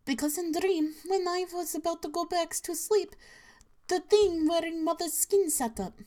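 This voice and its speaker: A woman voicing a frightened young daughter in a put-on mock Russian accent, speaking higher and pleading. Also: normal speed, a little mellow. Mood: scared. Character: frightened little daughter, Soviet household.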